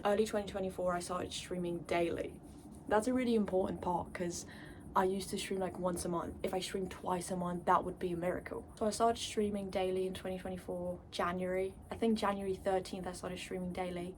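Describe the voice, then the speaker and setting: A young woman whose first language is Polish talks softly in a casual, rambling vlog from her room. Her voice sits at a middle pitch, kept low so as not to wake anyone. A faint hiss runs under the recording. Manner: talking quietly late at night. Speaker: YouTuber.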